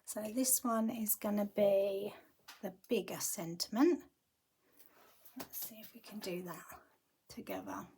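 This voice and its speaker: A woman teaching a card-making tutorial in a chatty, casual way. Her voice has gone croaky, and she often murmurs half-whispered asides to herself as she works. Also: medium pitch, expressive, measured pace, pauses often, warm tone, a little hiss or hum.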